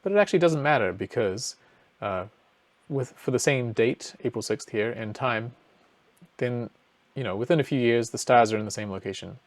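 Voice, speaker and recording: A man talks through a screen share, calm and explanatory, stopping now and then to find his words. His voice sits in an ordinary middle register. The sound is close and dry, with no room around it. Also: mid-range voice, moderate pace, no room sound. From New Zealand. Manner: casual, explanatory, thinking aloud with fillers. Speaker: presenter.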